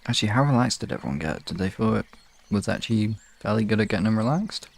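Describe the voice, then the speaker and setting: A man's voice: fairly low pitch, animated, quick pace, gravelly. A man chatting casually on a live stream in a hesitant, rambling, self-questioning way, as host of relaxation sessions. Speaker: hypnosis ASMR streamer.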